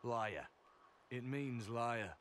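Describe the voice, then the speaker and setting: An earnest secret agent in a fantasy game. His voice is mid-pitched and composed, briefing in a measured, matter-of-fact way over audible background noise. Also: fairly bright tone. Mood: dry. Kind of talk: video game dialogue acting. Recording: clear background ambience noise.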